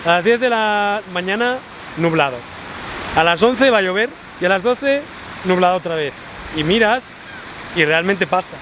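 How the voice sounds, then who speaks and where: Chatty Spaniard vlogging on a busy street. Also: male voice, high voice, lively intonation, normal speed, pauses often. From Spain. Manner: chatty, pointing things out as he walks. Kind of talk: casual chat walking outdoors. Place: city street outdoors. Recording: street noise behind.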